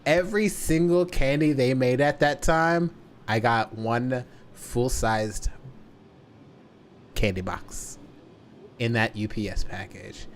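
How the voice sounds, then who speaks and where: Guy streamer just telling a story to chat, medium pitch, draws words out, some noise behind him. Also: slow, pauses often. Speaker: livestreamer. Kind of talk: casual storytelling to a livestream audience.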